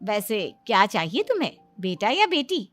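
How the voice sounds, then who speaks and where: A woman's voice: medium pitch, big pitch swings, normal speed, a bit bright, no room sound. A woman voicing a friendly, encouraging lady doctor chatting with a family in an acted comedy story. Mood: chatty, approving.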